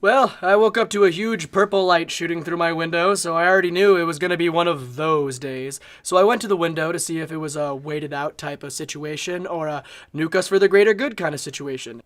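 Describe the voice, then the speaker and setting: Man playing a high-voiced, frazzled city eyewitness. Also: a little fast, hardly any pauses, a bit bright, natural voice. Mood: weary, dramatic. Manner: colourful, weary storytelling. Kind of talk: parody news interview in radio play.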